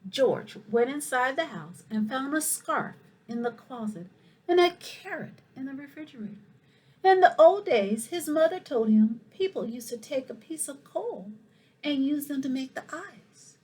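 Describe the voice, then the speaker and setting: A woman reading a children's story aloud in an expressive, animated way, with asides to her young listeners. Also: sits high, unhurried, rough recording. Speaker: school principal reading a picture book aloud.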